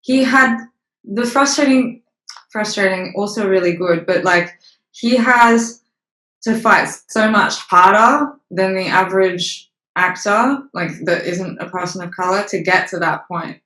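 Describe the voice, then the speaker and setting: A young actress giving a candid interview, speaking frankly with many fillers and restarts, now and then swearing. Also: female voice, medium pitch, a little slow, sharp tone. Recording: roomy echo.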